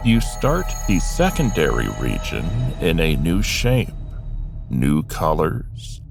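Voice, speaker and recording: Deep, gravelly, slow-paced male storyteller. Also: wildly swooping pitch. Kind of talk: slow scripted fantasy narration in first person as an alien being. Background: music.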